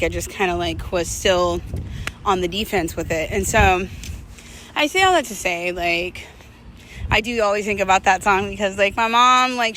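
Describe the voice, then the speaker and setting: Female vlogger, bright and clear-cut tone, casual confessional delivery with slangy, reflective phrasing; faint vehicle-like noise behind. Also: mid-range voice. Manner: candid, reflective, conversational slang. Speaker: vlogger telling personal stories to viewers.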